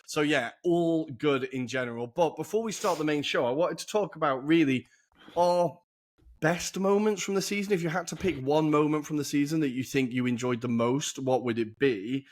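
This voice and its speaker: Chatty young male podcast host. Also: a little high. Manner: chatty, enthusiastic, quick asides. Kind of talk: casual football podcast chat. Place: humid room in a city flat.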